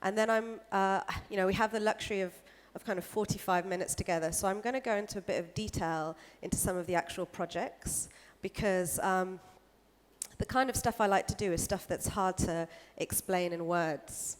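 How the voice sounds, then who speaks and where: A woman's voice: mid-range voice, moderate pace. Woman, from the UK, conference talk, casual and filler-heavy, reverberant hall. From United Kingdom. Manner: casual, many fillers like "kind of" and "like". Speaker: speaker. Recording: echoey hall.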